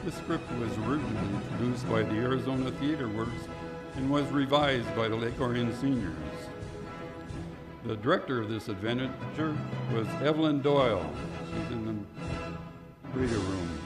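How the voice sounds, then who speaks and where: Male radio-play announcer over loud music. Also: medium pitch, moderate pace. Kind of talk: scripted radio-play narration and credits.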